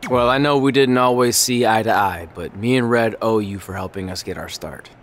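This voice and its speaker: A man's voice: on the low side, moderate pace, a little hiss or hum, lightly acted. A man playing an earnest, plain-spoken pro wrestler in a video game cutscene.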